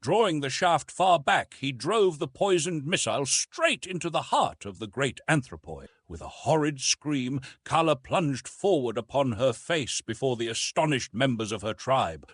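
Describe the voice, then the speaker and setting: Male audiobook narrator, dramatic adventure reading, animated intonation, slightly rough husky tone, dry close sound. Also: medium pitch, no echo.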